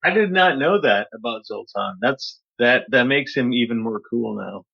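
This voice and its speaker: A man co-hosting a podcast over a remote call, playful in chat and reading a poem dramatically, with his voice dropping low at the ends of lines. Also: expressive, normal speed, gravelly, muffled recording. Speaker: podcast co-host.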